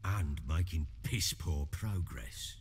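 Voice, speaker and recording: An actor playing a gruff, burly middle-aged baron in a video game, with weighty, deliberate delivery. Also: male voice, very deep voice, darkish tone, exaggerated voice. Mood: grim, brooding. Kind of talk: dramatic game cutscene acting.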